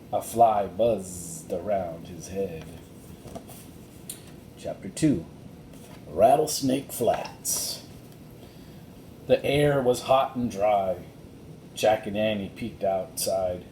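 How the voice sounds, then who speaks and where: A man narrating a children's storybook as a reader, recorded in an echoey room. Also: dark tone. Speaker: audiobook reader. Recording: roomy echo, faint rumbling noise.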